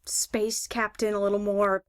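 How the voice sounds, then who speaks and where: A woman directing a read-through in casual talk, bossy and quick to interrupt, on a noisy recording. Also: mid-range voice, flat delivery. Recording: clearly noisy recording with clicks.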